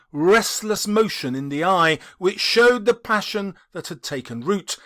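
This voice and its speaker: A man reads classic fiction as an audiobook narrator. His delivery is dramatic and expressive, with a very animated melody. He takes his time over each phrase. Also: fairly high pitch. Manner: theatrical, expressive. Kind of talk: dramatic scripted storytelling.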